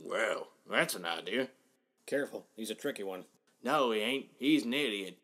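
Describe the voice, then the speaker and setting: A man playing a surly, slow-witted rural farmer thug with a folksy, menacing way of talking. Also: on the low side, animated, pushed and bright. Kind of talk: animated comic dub acting.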